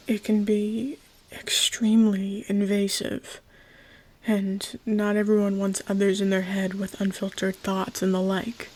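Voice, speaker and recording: A woman playing a gentle, patient trapped god in an ASMR roleplay, speaking in a breathy, often whispered voice with careful reassurance. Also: mid-range voice. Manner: slow, careful, reassuring explaining. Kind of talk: ASMR roleplay, scripted intimate monologue.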